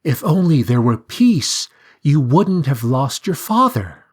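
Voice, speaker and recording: A man voicing a sly, coaxing talking bird with a high sing-song voice in a storybook reading. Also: sing-song, takes it slowly, husky, heavily acted.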